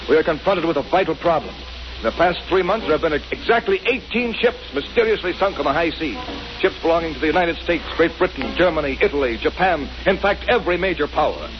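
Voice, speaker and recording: An actor playing a grave official making a formal, emphatic address in an old-time radio drama. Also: male voice, fairly high pitch, natural voice. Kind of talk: 1930s radio drama acting. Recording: muffled old radio recording.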